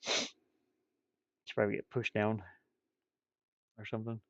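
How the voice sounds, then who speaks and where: A man chatting casually on a livestream while drawing, rambling and muttering to himself, with bursts of loudness. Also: lowish voice, monotone, measured pace, dry recording, dull audio. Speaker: streamer drawing digital art.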